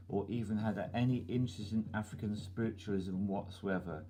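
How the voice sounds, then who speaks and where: A man's voice: fairly low pitch, warm tone. A man hosting his channel, reading a listener's email aloud over music. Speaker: channel host.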